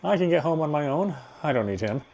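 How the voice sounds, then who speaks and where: A man's voice: fairly high pitch, animated, quick pace, gravelly, darkish tone. A man reading visual novel narration aloud, expressively, with occasional stumbles over words. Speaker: game streamer. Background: game music.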